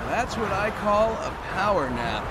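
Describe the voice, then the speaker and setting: A man's voice: high voice, very animated, measured pace, very noisy. A man voicing a cocky, upbeat young hedgehog hero in a cartoon video game, breezy and confident. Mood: relaxed.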